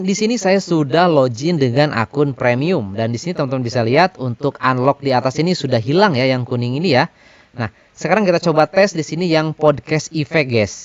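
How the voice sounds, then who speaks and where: A man's voice: a little high, no echo, highs cut off. A man hosting a casual product demo and review. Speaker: reviewer and tutorial host. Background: faint music.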